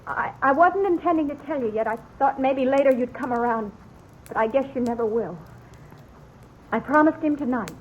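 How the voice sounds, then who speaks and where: A timid yet determined young American frontier woman acted by a woman in an old radio western. Her high voice comes haltingly and anxiously, through a dulled vintage recording. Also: natural voice. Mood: nervous, resolute. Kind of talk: 1950s radio western acting. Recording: muffled, slightly noisy old radio recording.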